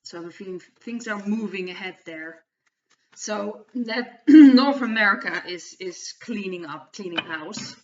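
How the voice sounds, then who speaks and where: A woman from the Netherlands with a Dutch accent, hosting her own channel and talking through a tarot card reading in a hesitant, halting way that often restarts phrases. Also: medium pitch, darkish tone, slight background noise. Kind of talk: casual spoken tarot reading monologue. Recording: distant, dull lo-fi mic.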